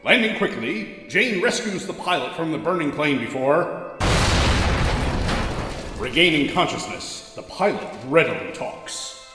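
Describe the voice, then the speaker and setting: A man's voice: fairly high pitch, sing-song, unhurried. A man giving emphatic, dramatic scripted narration of a superhero radio drama. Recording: echoey room with long reverb. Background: music.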